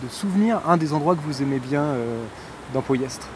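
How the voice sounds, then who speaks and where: A man interviewing locals outdoors for an amateur documentary, eager and quick with short "okay" replies. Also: fairly high pitch, animated, background noise. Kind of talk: street interview.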